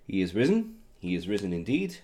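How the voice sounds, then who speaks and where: A man preaching with a somewhat low, mellow voice that still sounds hoarse from a recent cold. He talks in a halting way, full of "uh"s, and slows down when he prays or reads scripture aloud. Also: wildly swooping pitch. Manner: halting, many fillers, reads scripture slowly. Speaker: pastor. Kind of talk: sermon with scripture reading.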